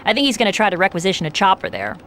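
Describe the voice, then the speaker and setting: A loyal female ally calling in over the radio in a video game, voiced by a woman, concerned and brisk. Her voice is bright and a little sharp, with faint noise behind it. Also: mid-range voice, animated, close to an everyday voice.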